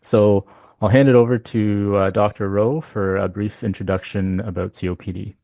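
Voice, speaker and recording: A man's voice: fairly low pitch, fairly even intonation, normal speed, creaky, mellow, no echo. A man presenting a clinical case in a medical webinar, with frequent ums and uhs. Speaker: physician speaker.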